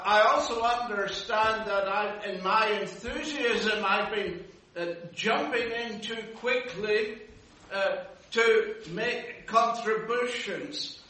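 A man at a Bible study gently urging the others to speak up and clearly, in a candid, apologetic manner. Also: sits high. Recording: echoey room from far off.

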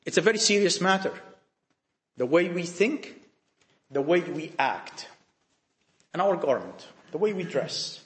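High-pitched, animated preacher man. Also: a little slow, long pauses, echoey room. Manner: emphatic, full of rhetorical questions; repeats phrases and restarts mid-sentence. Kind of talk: sermon to young people.

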